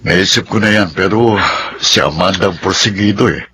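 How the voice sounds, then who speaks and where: A man's voice: deep voice, moderate pace, background noise, close to an everyday voice. A Filipino actor playing a middle-aged, conscience-stricken father and lover in a radio drama, earnest and defensive. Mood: resigned.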